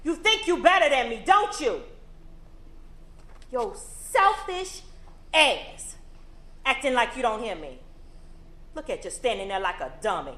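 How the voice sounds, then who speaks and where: A cruel, scornful mother, played by a woman on stage, swinging between mock piety and shouted abuse. Her voice sits high and sharp with wide swings. The sound is echoey, like a stage recorded from the house. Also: very animated, unhurried, pauses often. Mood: raging. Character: harsh abusive mother, middle-aged, volatile and cruel. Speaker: stage actor. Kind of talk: recorded stage play performance.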